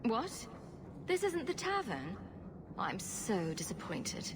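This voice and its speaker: A woman's voice: medium pitch, wildly swooping pitch, slow, noisy background. An actress voicing a witty, sarcastic young woman rogue in a video game cutscene. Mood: mock disappointment. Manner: wry, sarcastic.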